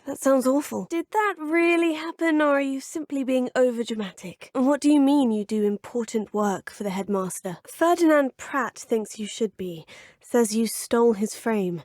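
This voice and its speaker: A woman's voice: sits high, darkish tone, dry recording, studio quality. A polite young witch student. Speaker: player character. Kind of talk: video game voice clips. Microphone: close studio mic.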